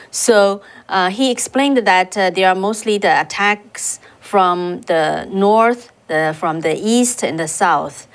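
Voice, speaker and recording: A woman co-hosting a news show, introducing an interview she did, with an unhurried manner and many fillers. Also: lowish voice. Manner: unhurried, with fillers and restarts on hard names. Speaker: reporter and co-host.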